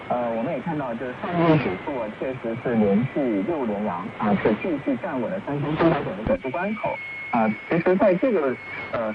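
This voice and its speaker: A man giving live financial commentary as a market analyst, heard over shortwave radio. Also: mid-range voice. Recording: muffled shortwave radio, loud static.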